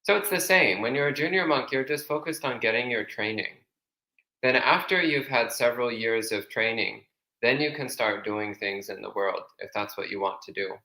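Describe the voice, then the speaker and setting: A man's voice: medium pitch, normal speed, gravelly, sharp tone, echoey room, low-quality recording. A man speaking as a monk who gives plain, practical advice with everyday comparisons. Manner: plain-spoken, uses everyday analogies. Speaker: monk answering questions. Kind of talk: casual explanatory advice.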